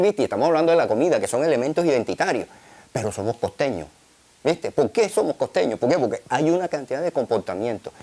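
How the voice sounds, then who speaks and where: A man's voice: a little high, a little mellow. A man from Colombia's Caribbean coast with a coastal accent, talking in a documentary interview, full of fillers and tag questions. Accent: Colombian Caribbean Spanish.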